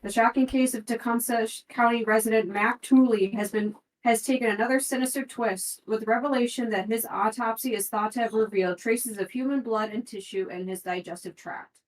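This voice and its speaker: A woman's voice: fairly high pitch, steady tone, fairly quick. A woman playing a tabletop game, talking through clues and reading handouts aloud, sometimes stumbling over words. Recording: roomy echo, distant from the mic.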